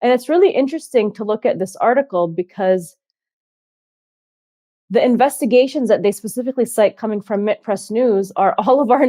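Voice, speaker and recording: A woman hosting a political interview, speaking quickly and indignantly. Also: mid-range voice, warm tone, no room sound, clean studio sound. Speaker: host. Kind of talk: fast political commentary.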